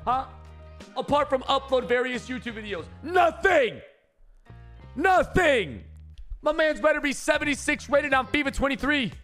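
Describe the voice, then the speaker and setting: Excitable high-pitched young man streaming. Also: measured pace, soft background music. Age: young adult. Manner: excitable, slangy, swearing. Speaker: streamer reacting to football clips. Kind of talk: casual live-stream reaction. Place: small indoor streaming room. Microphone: close microphone on boom arm, with headset.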